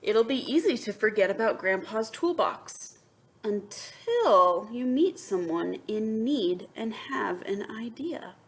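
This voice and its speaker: A woman reads a children's picture book at a slow, unhurried pace, as a teacher does for students. Her delivery is expressive, with emphatic story lines and softer asides. There is noticeable background noise in the recording. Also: a little high. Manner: expressive, switches between emphatic reading and soft, wondering asides. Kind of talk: children's read-aloud with asides and questions to students.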